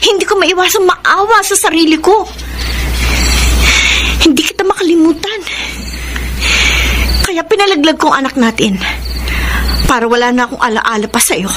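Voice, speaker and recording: An actress playing a bitter, wounded and defiant ex-girlfriend in a Filipino radio drama, sharp-tongued and sarcastic. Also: very high-pitched, expressive, moderate pace, pauses often, noisy background. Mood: bitter, hurt. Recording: music behind the voice. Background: dramatic music.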